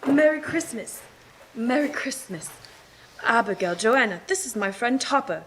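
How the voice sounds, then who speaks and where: A woman playing a cheerful, hospitable young gentleman welcoming guests in a live radio play, on a noisy live recording. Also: on the high side, animated, measured pace. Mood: jovial, welcoming.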